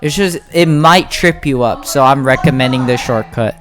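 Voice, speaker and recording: Casual gamer talking over music. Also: medium pitch. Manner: informal, halting, thinking aloud. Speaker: game guide video host. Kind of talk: casual gameplay tutorial commentary. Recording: music behind the voice.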